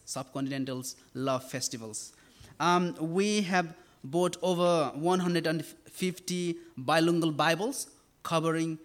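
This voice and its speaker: Young man from Nepal, fairly high voice, earnest church speaker who stops and restarts often. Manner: earnest, halting with fillers and restarts. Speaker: campus ministry worker. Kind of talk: church talk and sermon.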